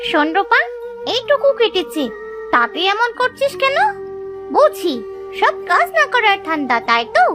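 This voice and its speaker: A woman's voice: very high voice, very animated, unhurried, full character voice. A woman voicing a spoiled, bossy little village girl in a cartoon, whiny and demanding. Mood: taunting. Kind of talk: cartoon voice acting. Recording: roomy echo, distant, faint music behind.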